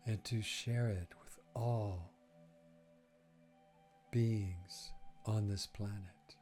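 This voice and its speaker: A man leading a guided breathing meditation, speaking gently in short phrases with long, unhurried pauses. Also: on the low side, steady tone, faint music behind.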